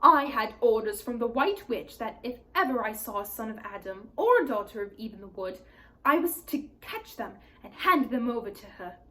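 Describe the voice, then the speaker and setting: A woman voicing a weepy, guilt-ridden male fawn in a storybook, with sobbing, drawn-out, self-reproaching speech. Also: on the high side, lively intonation, sharp tone, poor audio. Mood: fearful despair. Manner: sobbing, wailing, drawn-out words.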